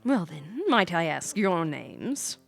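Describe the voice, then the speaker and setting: Game role: woman playing a stern male mansion lord, formal, curt, slow, in a roomy space. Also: lowish voice, big pitch swings, vocal fry, soft background music, voice pushed down, heavily acted. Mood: stern, reluctant.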